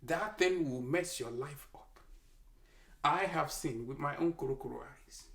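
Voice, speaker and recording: Nigerian-accented doctor, slow and emphatic, gravelly tone, preaching health advice, recorded in an echoey room from a distance. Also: mid-range voice, fairly bright tone. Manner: emphatic, exhorting, repeats lines for effect. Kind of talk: preachy health talk to camera.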